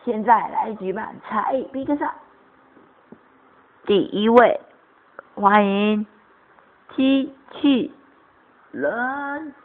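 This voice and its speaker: A woman's voice: on the high side, drawn-out words, long pauses. A woman performing and hosting an amateur radio drama. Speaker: host and narrator of an amateur radio play. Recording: tinny phone-like sound with faint noise.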